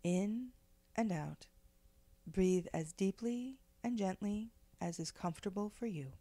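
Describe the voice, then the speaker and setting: A woman leading a guided meditation in a gentle, measured, soothing way, with pauses between phrases. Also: medium pitch, no echo. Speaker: guided meditation leader, spiritual director.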